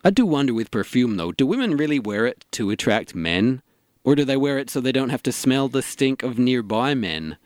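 Animated, self-mocking middle-aged man played by an actor. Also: mid-range voice, moderate pace, no room sound, clean studio sound, lightly acted. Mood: teasing. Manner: wry, self-deprecating. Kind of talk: audio sitcom dialogue.

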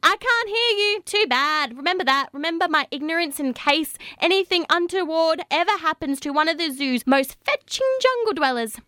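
Actress as vain ruthless schemer, falsely innocent, bright voice, swooping pitch, radio comedy. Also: female voice, expressive, moderate pace, few pauses, no room sound, clean studio sound, full character voice. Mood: feigning innocence. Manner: theatrical, feigned innocence. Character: scheming, vain woman obsessed with perfume, ruthless. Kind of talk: comedy radio sketch acting.

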